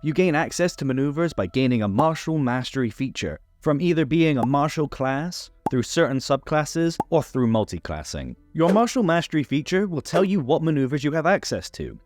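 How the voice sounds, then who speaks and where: A man's voice: mid-range voice, a little mellow, dry recording. A man hosting a tabletop game rules series, delivering scripted explainer narration in an instructional way. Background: background music.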